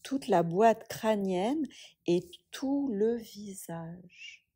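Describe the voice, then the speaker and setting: Woman, very slow delivery, mellow breathy tone, soothing yoga nidra guidance, measured pauses. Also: medium pitch. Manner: soft, soothing, measured instruction with long pauses. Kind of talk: guided relaxation meditation.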